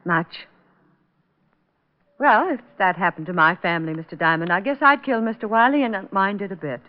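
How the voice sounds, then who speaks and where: An American actress playing a guarded young salon masseuse with polite, clipped control, in old radio drama style. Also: female voice, medium pitch, normal speed, close to an everyday voice. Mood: cold, controlled. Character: guarded young female salon masseuse hiding cold resentment. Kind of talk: 1940s-50s American radio drama acting. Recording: muffled, noisy old radio recording.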